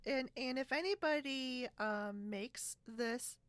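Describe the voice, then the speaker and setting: A woman's voice: high voice, slow pace. A woman hosting a casual video podcast over a video call, talking in a chatty way and often laughing as she goes. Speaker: podcast host. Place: split-screen video call, recorded dry.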